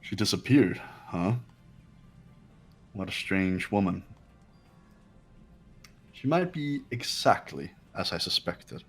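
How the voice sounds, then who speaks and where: A man playing a haughty, cold and suspicious young man in a fan game dub, speaking in a measured, condescending way. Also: deep voice, lively intonation, breathy edge, faint music behind, heavily acted. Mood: suspicious, disdainful. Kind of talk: amateur game voice acting.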